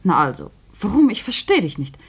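An actress in a post-war German radio play playing a devoted, worried young wife who speaks softly and intimately. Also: female voice, mid-range voice, big pitch swings, brisk, breathy edge, heavily acted. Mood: puzzled, worried.

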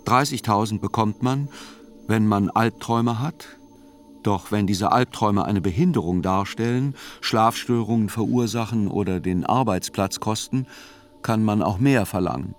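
An actor narrating a radio play as a sober court chronicler, with measured, matter-of-fact and deliberate phrasing. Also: male voice, fairly low pitch, natural voice. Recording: music under the voice. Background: music.

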